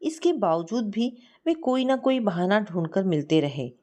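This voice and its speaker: A woman narrating a scripted story in an expressive, sentimental manner. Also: mid-range voice, unhurried.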